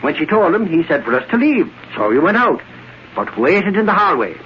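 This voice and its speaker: An actor playing an excitable, long-winded Irish newspaper reporter with a blustery manner in old radio drama style. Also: male voice, high-pitched, brisk. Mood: eager, garrulous. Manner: blustery, rambling. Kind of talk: 1930s-40s radio drama acting. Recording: muffled noisy vintage radio broadcast.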